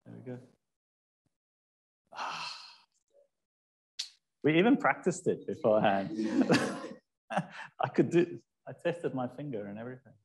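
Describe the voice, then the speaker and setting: A South African man in his forties telling a meandering, relaxed story of his career as a startup founder at a live talk. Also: medium pitch, slow, gravelly, pauses often. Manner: meandering, relaxed, with fillers and light laughs. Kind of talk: casual storytelling presentation. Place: event hall talk.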